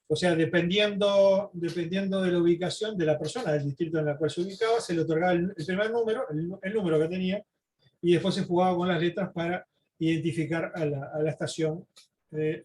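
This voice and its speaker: Man, high-pitched voice, informal lecture, halting with repeated words, faint noise and clicks. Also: a little mellow. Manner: halting, repeats words, frequent questioning tags. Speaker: presenter giving a history talk. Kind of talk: informal lecture talking through slides.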